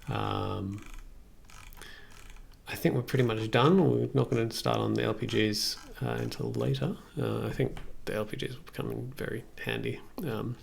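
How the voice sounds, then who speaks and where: A man in his thirties with a heavily gravelly, fry-laden voice at a middling pitch. He talks casually as a livestream host working at a computer, thinking aloud and asking himself questions. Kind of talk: casual chat while working on music software. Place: small indoor room at a computer.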